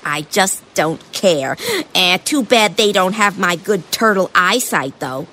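Brief: woman as a dismissive, boastful old-tale turtle, unhurried and grumbling. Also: on the low side, animated, bright, forceful tone, a little hiss or hum. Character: grumpy boastful male turtle.

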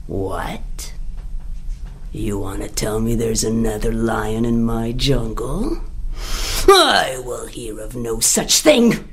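A woman voicing a huge, proud male lion king who speaks gruffly and boastfully in a told story. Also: rumbling low, animated, slow, pitched below the performer's own voice, exaggerated voice. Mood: outraged.

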